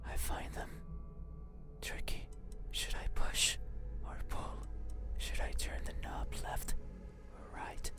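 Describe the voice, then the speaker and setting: A man voicing a creepy, lonely shadow demon in a horror game monologue, brooding and politely menacing in a breathy ASMR whisper. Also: slow, natural voice. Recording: whisper over loud music.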